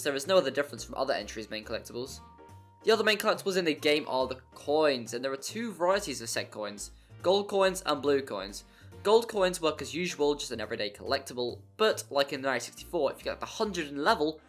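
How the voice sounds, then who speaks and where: A man's voice: a little high, a bit bright. A man narrating a scripted video game review in a wry, jokey manner, given to exaggeration. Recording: voice-over with music behind.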